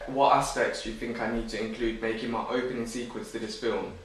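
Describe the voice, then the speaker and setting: A man's voice: mid-range voice, level tone, fairly quick, slight background noise. A young man asking feedback questions about his storyline in a plain, businesslike way. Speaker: student interviewer. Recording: very echoey room, far from mic.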